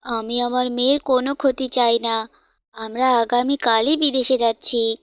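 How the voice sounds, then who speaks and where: A woman's voice: fairly high pitch, steady tone, unhurried, a bit bright, clean tone, dry recording, muffled recording, voice pushed down. A woman voicing a protective father bird in a cartoon, earnest and admonishing. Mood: gently persuading. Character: stern but loving protective father bird.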